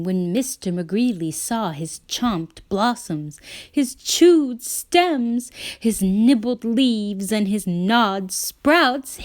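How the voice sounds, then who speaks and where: A woman reading a children's picture book aloud, expressively and dramatically, with drawn-out emphasis on key words. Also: fairly high pitch, slight background noise. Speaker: read-aloud storyteller.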